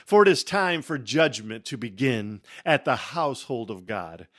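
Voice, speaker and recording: A man giving a dramatic reading of scripture in a solemn, theatrical manner, with emphatic phrasing and deliberate pauses. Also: medium pitch, sing-song, fairly bright tone. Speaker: Bible reader.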